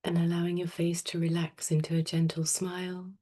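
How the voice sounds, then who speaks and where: A woman teaching meditation, speaking softly and soothingly with unhurried pauses. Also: lowish voice, completely flat delivery. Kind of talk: guided meditation.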